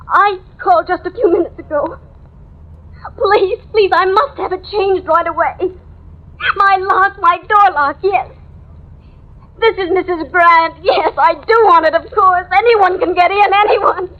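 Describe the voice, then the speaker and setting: A terrified housewife in an old radio thriller, her voice very high and tearful. She speaks in halting, breathless pleas, full of paranoid dread. The recording is old and muffled. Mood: fretful, frantic. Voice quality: shrill, tearful. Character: frantic, paranoid young housewife, terrified of a killer. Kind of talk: 1950s radio horror melodrama acting.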